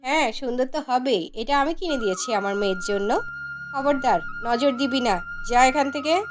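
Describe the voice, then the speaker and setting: A woman's voice: high voice, moderate pace, music in the background, natural voice. A woman voicing a cruel, bossy stepmother in a cartoon, scolding and threatening her stepdaughters. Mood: haughty, scolding. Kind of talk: cartoon character dialogue.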